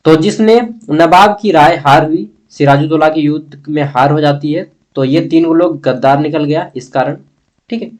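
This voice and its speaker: Male history tutor, fairly high voice, Bihar-Jharkhand accent; colloquial, repeats key points, poses questions and answers them. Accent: eastern Indian Hindi, Bihar-Jharkhand. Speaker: history teacher. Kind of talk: informal exam-prep lesson, explaining a text.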